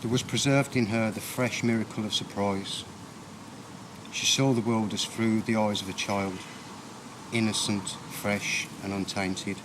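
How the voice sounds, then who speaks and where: Low-pitched man reading poetry amid background noise. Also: steady tone, a little slow. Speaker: poet.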